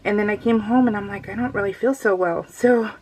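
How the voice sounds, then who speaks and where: Middle aged lady doing a craft vlog, super chatty, kinda giggly, keeps wandering off track, medium pitch. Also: fairly quick, darkish tone, a little hiss or hum, low-quality recording. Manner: chatty, giggly, easily sidetracked. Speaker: craft vlogger. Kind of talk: casual chat, rambling vlog.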